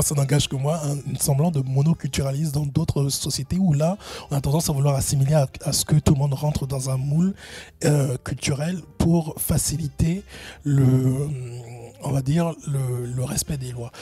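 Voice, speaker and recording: A man's voice: fairly high pitch, warm tone, slightly rough. A middle-aged man answering interview questions at length, hesitant, with many fillers and restarts. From Benin, raised in Gabon. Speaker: guest, entrepreneurship consultant.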